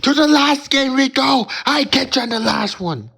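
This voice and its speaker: Male gamer, fry-heavy high voice, slow sing-song bragging and taunting. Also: lively intonation, pushed and bright. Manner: drawn-out dramatic chanting taunts; boastful, calls opponents noobs. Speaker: gamer commentating own match. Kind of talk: hyped trash-talk commentary.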